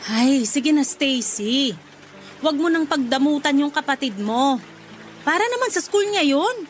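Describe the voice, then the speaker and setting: A woman's voice: sits high, animated, a little slow, soft background music. An actress playing a demanding middle-aged Filipina mother who nags and pleads, in a Tagalog radio drama. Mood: coaxing.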